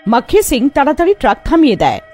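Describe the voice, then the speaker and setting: A woman narrates a scripted horror fairy tale. Her voice is high and animated, slightly husky, with music playing softly beneath. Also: quick pace.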